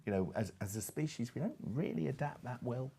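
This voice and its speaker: A middle-aged man speaking as a panel expert in a conversational, discursive way, halting and then stressing his points. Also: mid-range voice, very animated, vocal fry, mellow, husky.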